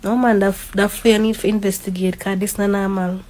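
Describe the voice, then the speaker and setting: A woman's voice: mid-range voice, level tone, brisk, darkish tone. A Jamaican woman in her late twenties, sick with the flu, complaining in exasperated disbelief. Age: late 20s. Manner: exasperated, incredulous. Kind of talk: casual complaint to camera.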